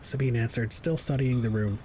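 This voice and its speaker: A man's voice: medium pitch, brisk, a little mellow, slight background noise. A man reading scripted narration for an audio drama.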